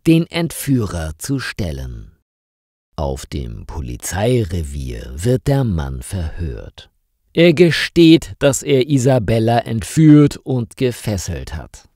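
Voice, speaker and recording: A man reading a crime story aloud as a narrator, with a clear, deliberate delivery meant for language learners. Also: mid-range voice, a little mellow, no echo, clean studio sound.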